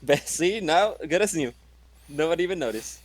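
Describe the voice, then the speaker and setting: A man's voice: fairly high pitch, lively intonation, moderate pace, heavy background noise. A man chatting and joking casually as a player in a tabletop role-playing game.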